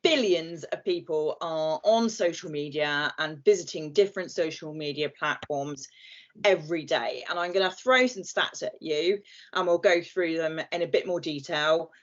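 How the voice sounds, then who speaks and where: A woman's voice: low-pitched, moderate pace. A woman from southern England giving a friendly, chatty presentation on a video call, with some fillers. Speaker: guest speaker and company head. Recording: muffled video call audio.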